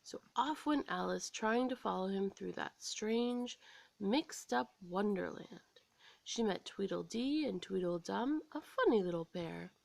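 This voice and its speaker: An American woman storyteller reading a scripted children's story aloud in an expressive, dramatic way. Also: medium pitch, unhurried, gravelly, no room sound.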